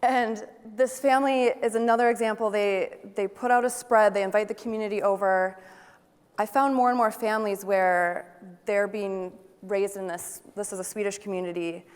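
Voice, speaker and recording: A young woman at a stage microphone speaks to a conference crowd in a chatty, enthusiastic way and asks them questions as she goes. Her voice sits at an ordinary middle pitch. A long hall reverb trails behind every phrase. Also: fairly even intonation, normal speed, clear, resonant tone. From Wisconsin, United States. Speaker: photographer giving a talk. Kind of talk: conference presentation to an audience.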